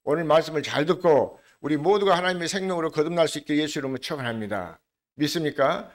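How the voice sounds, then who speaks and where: Older Korean pastor with regional dialect. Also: male voice, fairly high pitch. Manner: conversational preaching, asks the congregation questions; slows down to lead scripture reading together. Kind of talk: sermon.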